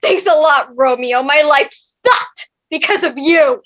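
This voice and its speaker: A woman acting out a fed-up, melodramatic young wife in a stage comedy. Her voice is very high and bright, slow and dripping with sarcasm. The recording is a little dull. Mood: annoyed. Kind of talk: comic staged-reading acting.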